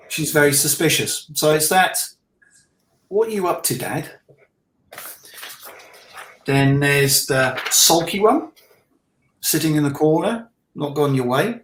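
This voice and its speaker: A man's voice: a little high, a bit bright, a little hiss or hum. A middle-aged man chatting casually as a guest, rambling and jokey, talking about his work as an illustrator. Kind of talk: casual chat. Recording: roomy, echoey sound.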